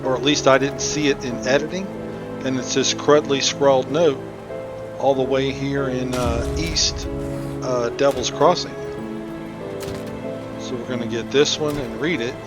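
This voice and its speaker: A man's voice: medium pitch, fairly even intonation, measured pace, long pauses. A man giving casual, conversational commentary as he hosts a video game playthrough. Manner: informal, conversational. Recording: game music behind voice. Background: game music.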